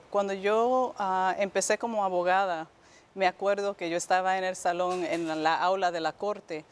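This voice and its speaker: A woman from New York, answering interview questions conversationally as a guest judge, searching for words and slipping in English fillers. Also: lowish voice. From New York, United States.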